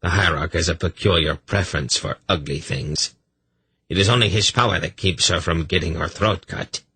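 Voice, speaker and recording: A man's voice: sits low, normal speed, pushed and bright, breathy edge, no room sound, heavily acted. A man voicing a bitter, conspiratorial underpriest in a fantasy audiobook, muttering with venom. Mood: dark, muttering. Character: dark, bitter, conspiratorial male underpriest of a cruel temple.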